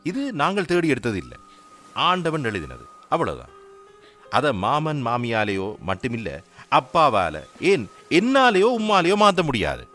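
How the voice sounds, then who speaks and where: An actor playing a gentle young husband with a Sri Lankan Tamil accent, earnestly reassuring his wife. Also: medium pitch, wildly swooping pitch, normal speed, faint music behind. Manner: soothing, earnest explaining. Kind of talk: radio drama acting.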